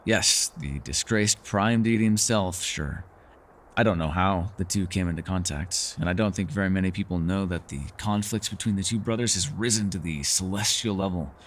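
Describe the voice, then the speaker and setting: A man voicing a reclusive, centuries-old elf in a fantasy ASMR roleplay, gentle, wry and secretive, with measured formal phrasing. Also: sits low. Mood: grave, confiding. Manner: measured, formal phrasing, hesitant and softly teasing. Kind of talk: fantasy ASMR roleplay acting, intimate one-sided dialogue.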